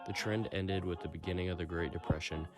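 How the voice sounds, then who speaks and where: Deep-voiced man reporting over loud music. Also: steady tone, quick pace. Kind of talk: scripted narration for a 1920s-style radio program.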